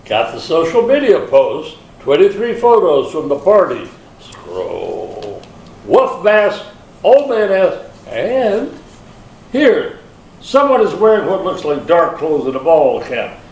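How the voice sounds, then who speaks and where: A man reading the part of a wry police detective in an amateur readers theater, deliberate in manner. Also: on the high side, very animated, a little mellow, heavily acted. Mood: focused. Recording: echoey room from far off, faint noise.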